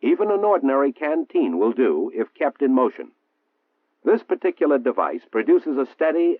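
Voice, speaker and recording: An American man reading a 1960s military training film narration in a formal, measured, precisely enunciated manner. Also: mid-range voice. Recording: muffled, roomy 1960s film soundtrack, phone-like.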